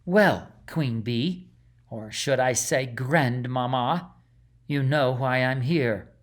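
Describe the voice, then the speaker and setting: A man's voice: mid-range voice, slow, lightly acted. A man voicing a menacing aging sorceress in a storybook, hollow and callous, commanding and mocking.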